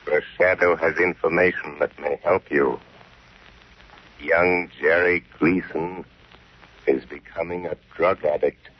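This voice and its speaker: Mocking phantom vigilante, American, extremely low voice, dragging menacing delivery, 1930s radio thriller. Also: male voice, fairly even intonation, husky, heavily acted. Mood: menacing, taunting. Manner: slow, menacing, deliberate. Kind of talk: 1930s radio mystery acting. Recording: dull old 1930s radio recording.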